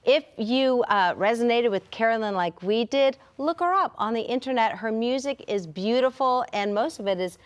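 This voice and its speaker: A woman's voice: a little high, moderate pace, few pauses. A woman co-hosting a faith talk show, chatty and gushing with enthusiasm. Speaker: co-host. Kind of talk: casual chat.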